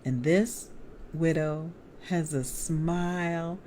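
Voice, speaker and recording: Casting: middle-aged American woman, low mellow voice, expressive memoir narration, slight background noise. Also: very slow. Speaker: author and evangelist reading from own book. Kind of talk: memoir read aloud.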